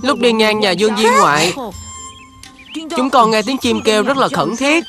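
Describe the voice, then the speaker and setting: A woman's voice: on the high side, normal speed, over music, close to an everyday voice. A woman voicing an earnest, polite little boy novice monk in a dubbed cartoon. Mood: worried. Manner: earnest, respectful.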